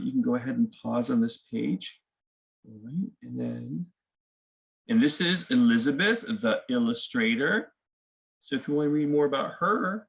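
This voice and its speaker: A man reads a children's picture book aloud in a creaky, gravelly voice of medium pitch. He narrates the story and speaks to young viewers in a conversational, explanatory way. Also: poor audio. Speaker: read-aloud host.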